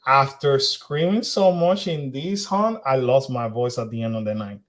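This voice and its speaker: A man's voice: mid-range voice, normal speed. A man co-hosting a casual livestream chat, repeating and restarting his phrases. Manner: repetitive, restarts often. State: hoarse after losing voice. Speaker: co-host.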